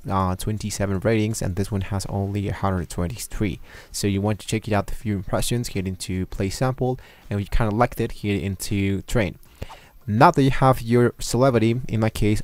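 A man walking viewers through software on his screen, talking loosely with many fillers and restarts. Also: on the low side, dry recording. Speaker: tutorial host. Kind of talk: screen-recorded software tutorial. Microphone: desktop microphone at a computer.

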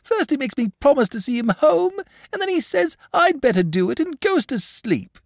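High, tearful little boy. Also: sing-song, moderate pace, creaky, no room sound, clean studio sound, full character voice. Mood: sobbing, indignant. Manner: piteous, sobbing, whining. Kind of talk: storybook character voice.

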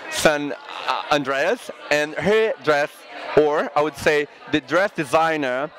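A man's voice: a little high, slow pace, a bit bright. A man in his thirties interviewing guests at a cinema event with a handheld mic, asking eager, rambling questions. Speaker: red carpet interviewer. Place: indoor cinema event, in front of a backdrop.

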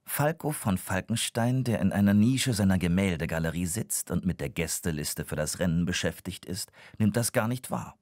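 A man's voice: sits low, lively intonation, normal speed, few pauses, a little mellow, no echo, clean studio sound. A man giving scripted narration for a children's audio drama.

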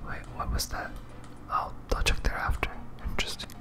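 A gamer speaking in a breathy whisper, giving soft, rambling ASMR commentary while playing a video game. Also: normal speed, over music.

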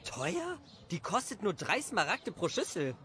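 A hot-headed village husband from an audio drama, acted by a man, blunt and sputtering. His voice is high and swoops with indignation. Some background noise sits behind it. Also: huge pitch swings, unhurried, pushed and bright, exaggerated voice. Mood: indignant. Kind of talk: animated audio drama acting.

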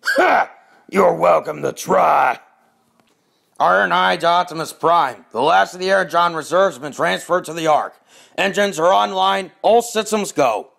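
A man voicing a gruff, irritable, no-nonsense robot soldier with a rough voice for a cartoon audition. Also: sits high, measured pace, fairly bright tone, exaggerated voice. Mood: confident. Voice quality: gravelly, rough.

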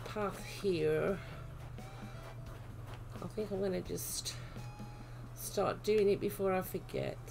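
British lady streaming a game, chatty, kinda losing her voice, creaky and slow. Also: medium pitch, over music. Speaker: livestream host. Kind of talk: casual livestream chat while gaming. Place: indoor room at home.

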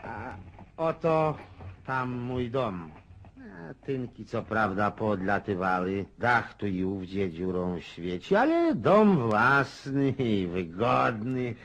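An actor playing a boorish, domineering Russian country landowner in a radio drama, blustering, bossy and folksy. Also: male voice, medium pitch, expressive, takes it slowly, fairly bright tone, full character voice. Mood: jovial, expansive. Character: boorish, boisterous provincial Russian landowner, domineering husband and father. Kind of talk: Polish radio drama acting.

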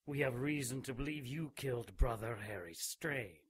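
A man's voice: medium pitch, normal speed, husky, dry recording, close to an everyday voice. A man playing a menacing old vicar of a secretive holy order in a radio drama, speaking formally and imperiously. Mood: cold, menacing.

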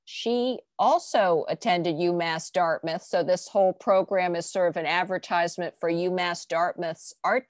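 Host woman, lowish voice, dry close sound, organized moderator. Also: dry recording. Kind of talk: hosting a virtual art reception.

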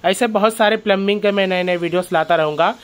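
Guy with a high clear voice walking thru a factory, explaining stuff to his viewers. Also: quick pace. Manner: explains step by step, keeps addressing viewers as friends. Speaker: channel host. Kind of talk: factory tour vlog narration.

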